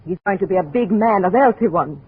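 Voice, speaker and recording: A woman's voice: medium pitch, lively intonation, fairly quick, breathy edge. Haughty young woman, formal and curt. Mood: resolute, cold. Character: haughty, ambitious young daughter, tired of poverty. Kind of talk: 1950s radio drama acting. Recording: muffled, noisy old radio recording.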